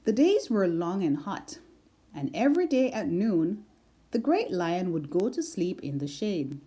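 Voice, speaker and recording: A woman reading a children's picture book aloud as storyteller, slow and expressive. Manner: slow and expressive, big pitch swings.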